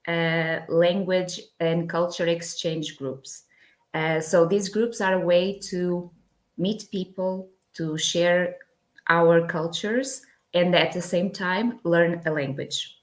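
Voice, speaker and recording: A Portuguese woman speaks English slowly and carefully as an interview guest. Her voice sits fairly low, and she often pauses on fillers while searching for words. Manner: hesitant, many fillers, laughs lightly. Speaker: guest from a language exchange project. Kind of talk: casual interview chat.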